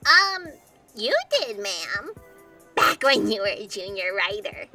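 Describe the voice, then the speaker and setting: A woman's voice: medium pitch, very animated, slow pace, bright, forceful tone, far from the mic, soft background music, full character voice. A woman playing an anxious, over-emotional, eager fact checker with melodramatic, hesitant delivery in a comedic audio drama. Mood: timid.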